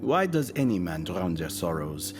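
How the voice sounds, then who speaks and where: Guarded, wry salesman, lowish and animated. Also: moderate pace. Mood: bitter, wry. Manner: pointed, wry, insinuating. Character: smooth-talking, guarded male salesman, bitter and wry. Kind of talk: scripted audio drama acting. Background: music.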